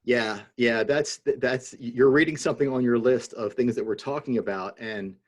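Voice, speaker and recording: A man's voice: medium pitch, moderate pace. A man co-hosting a podcast in casual video-call chat, wry and teasing with frequent laughs. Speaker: podcast co-host.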